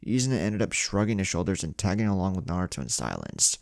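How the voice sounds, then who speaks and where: A man's voice: deep voice, big pitch swings, fast, gravelly, no room sound, studio quality. A man narrating a scripted fan fiction story. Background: music.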